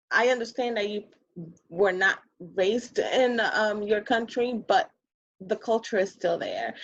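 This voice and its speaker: Woman, 30s, New York Puerto Rican accent, lively bursts of talk, fairly high voice. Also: no room sound. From Bronx, New York. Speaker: guest. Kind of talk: casual interview chat. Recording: dull lo-fi audio.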